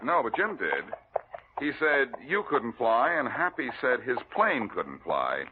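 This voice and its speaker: Breezy american detective from a 40s radio show, voice bounces around, narrow old-timey sound w/ some hiss. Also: male voice, medium pitch, huge pitch swings, a little slow. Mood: probing. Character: wisecracking confident male amateur detective, brisk and breezy. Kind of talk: 1940s American radio acting. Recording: noisy, band-limited old radio recording.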